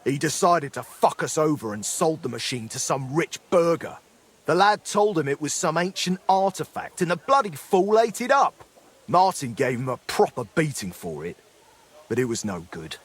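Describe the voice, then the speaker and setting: A middle-aged former smith worn down into mining, in a dramatic game. His voice is husky and very animated in pitch. He talks in an earthy, colloquial way, full of rueful apology. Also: normal speed.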